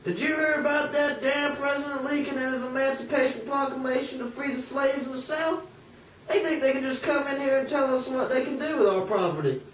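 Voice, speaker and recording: A man's voice: extremely high pitch, fairly quick, a bit bright, pitched above the performer's own voice. A man voicing a cruel, bullying Southern slave master in a puppet show, barking contemptuous orders. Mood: indignant, scornful. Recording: roomy, distant, noisy amateur recording.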